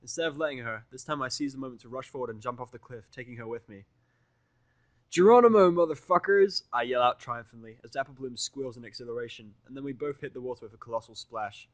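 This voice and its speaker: Male story reader, medium pitch, wry informal first-person narration, recorded very dry. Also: fairly even intonation, fast, hardly any pauses, a bit bright, no echo. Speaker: fan fiction reader.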